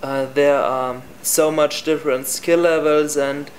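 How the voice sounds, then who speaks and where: A young man from Germany with a German accent, answering interview questions in halting English. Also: a little high, fairly even intonation, a little hiss or hum, low-quality recording. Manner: halting, searching for words in English.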